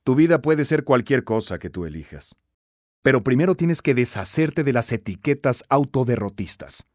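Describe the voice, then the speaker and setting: A man narrating a scripted self-help audiobook in an emphatic, instructive, expressive way. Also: mid-range voice, normal speed, no echo, studio quality.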